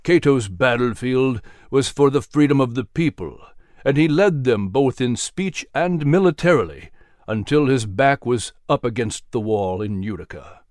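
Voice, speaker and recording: A man voicing a grave, wise mentor in a storybook drama, speaking solemnly and deliberately. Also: medium pitch, expressive, darkish tone, dry recording, clean studio sound, close to an everyday voice. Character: grave, wise male mentor guiding through history. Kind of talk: storybook character voice.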